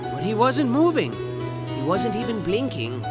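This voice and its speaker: A man's voice: high voice, huge pitch swings, normal speed. A man playing a zany, melodramatic and sarcastic cartoon game narrator, swerving between grandiose storytelling and slangy asides. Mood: mournful. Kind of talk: comic scripted game narration. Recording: music under the voice.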